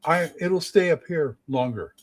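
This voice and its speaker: A man in his late sixties in a casual chat, halting and repeating his words as he talks about running games. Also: on the high side, expressive. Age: 60s. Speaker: game master, co-host.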